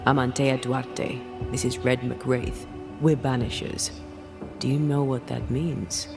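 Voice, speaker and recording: A woman's voice: rumbling low, flat delivery, slow, vocal fry, over music, full character voice. An actress playing a solemn, principled ghost hunter woman in a dramatic video game. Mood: composed, direct.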